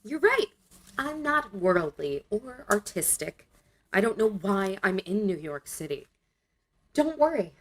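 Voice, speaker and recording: Earnest, unsure young female narrator for a motion comic, played by an actress; unhurried, expressive. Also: medium pitch. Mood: dejected. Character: earnest, self-doubting young woman narrating her story.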